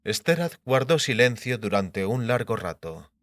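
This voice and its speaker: A man's voice: medium pitch, sing-song, moderate pace, a bit bright, no room sound. A man narrating a fiction audiobook from a script in a storytelling style.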